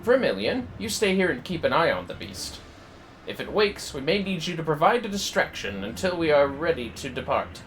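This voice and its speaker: A man's voice: fairly high pitch, big pitch swings, normal speed, fairly bright tone, a little hiss or hum, poor audio. A man voicing a haughty, commanding young male fantasy game character in a formal, imperious manner.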